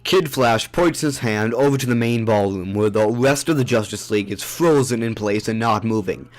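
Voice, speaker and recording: A man's voice: fairly low pitch, normal speed. Man narrating an audio drama.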